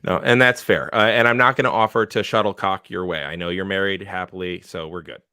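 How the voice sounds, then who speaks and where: A man's voice: low-pitched, fairly even intonation, brisk, pushed and bright, clean tone, dry recording, clean studio sound. A man competing in a pun contest, teasing and playful, over a video call. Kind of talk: quick-fire pun banter.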